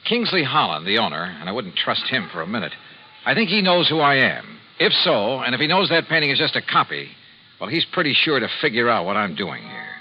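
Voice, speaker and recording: A man's voice: medium pitch, big pitch swings, brisk, slight background noise, lightly acted. Guy doing a cool american radio detective, wry n clipped, voice swings around a lot, old muffled recording. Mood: calculating, brisk. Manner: wry, clipped, colloquial, narrates as well as converses. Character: shrewd, cool, hardboiled male insurance investigator. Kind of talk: 1950s radio detective drama acting. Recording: muffled vintage radio recording, faint music.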